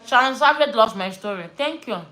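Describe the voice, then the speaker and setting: A woman from Nigeria with a Nigerian accent, chatting casually on a live stream from home. She tells stories in an animated, chatty way and drops pidgin slang. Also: fairly high pitch, brisk. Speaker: livestream host.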